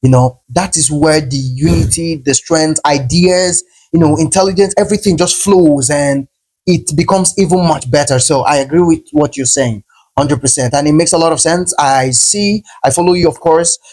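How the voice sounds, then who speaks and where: A man's voice: on the high side, moderate pace, a little mellow, slightly rough, rough recording. A man hosting a casual podcast interview, talking with enthusiasm and heaping praise on his guest. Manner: enthusiastic, encouraging, repeats praise. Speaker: podcast host.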